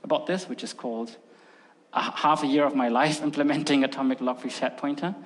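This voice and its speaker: A man's voice: medium pitch, fairly even intonation, a little fast. A middle-aged man whose first language is Russian, giving a casual, filler-heavy technical talk at a conference. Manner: casual and chatty, many fillers like "like" and "um". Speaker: conference speaker. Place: large conference hall. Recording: long hall reverb.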